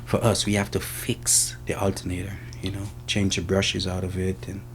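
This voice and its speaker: A man's voice: deep voice, completely flat delivery, moderate pace, a little hiss or hum. A man with a Jamaican accent giving casual answers in an interview. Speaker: interviewee, senior car technician.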